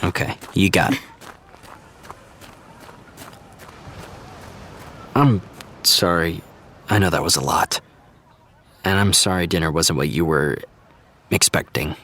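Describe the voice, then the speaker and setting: An actor playing an easygoing, diplomatic TV writer who explains things in a reassuring, conversational way, in an audio drama. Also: male voice, low-pitched, moderate pace, long pauses, bright, forceful tone, exaggerated voice. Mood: gentle. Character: easygoing, diplomatic TV writer consoling a young fan. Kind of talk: naturalistic audio drama dialogue.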